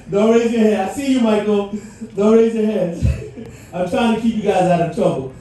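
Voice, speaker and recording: A man's voice: high-pitched, warm tone. A middle-aged man from Haiti, a native French speaker, giving a motivational speech to live listeners with questions and playful asides. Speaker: guest speaker at a civic event. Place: large echoey venue with live audience. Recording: echoey venue, distant mic, faint noise.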